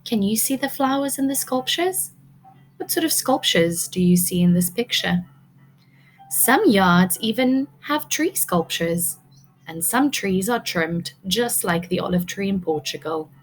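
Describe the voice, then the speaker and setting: A woman's voice: medium pitch, expressive, unhurried, soft background music, poor audio. A woman teacher reading a picture book aloud to young children, expressively, prompting them with questions.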